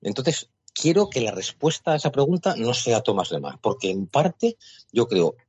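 A man's voice: mid-range voice, sing-song, moderate pace, darkish tone, slightly rough, no room sound. A man co-hosting a podcast, weighing his opinions carefully in casual chat. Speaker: podcast co-host. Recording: dull, dry, lo-fi sound.

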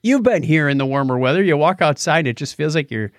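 A man's voice: on the high side, lively intonation, quick pace, no room sound, studio quality. An American man co-hosting a podcast, chatting casually and explaining things in an anecdotal, conversational way. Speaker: podcast host.

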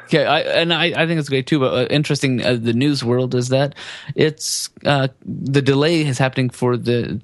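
A man's voice: medium pitch, moderate pace, no room sound, studio quality. A man co-hosting a casual gaming podcast, talking through news in a jokey, rambling way. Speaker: podcast co-host giving game news.

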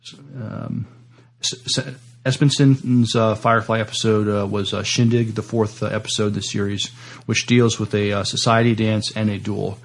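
A man co-hosting a podcast, giving casual opinions and news in a hesitant, halting delivery. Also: fairly low pitch, little pitch movement, vocal fry. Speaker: podcast co-host. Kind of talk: casual discussion and reading of sci-fi news.

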